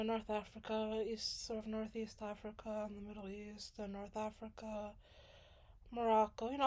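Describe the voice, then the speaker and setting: A woman's voice: mid-range voice, a little mellow. A young woman from Sudan chatting casually as a graduate student, rambling with many fillers and restarts. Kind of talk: casual chat.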